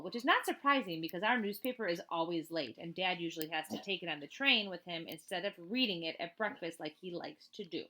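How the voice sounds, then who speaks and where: A woman reading a children's book aloud as a teacher, in an expressive, chatty way with casual asides. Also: fairly low pitch. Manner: expressive, chatty, emphatic on list items.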